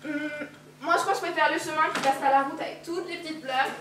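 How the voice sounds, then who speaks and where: A woman's voice: high voice, fairly even intonation, fast talker, background noise, lightly acted. A teenage girl playing a trusting little girl who chatters eagerly and repeats herself, in a school play. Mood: innocent. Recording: echoey hall from far off.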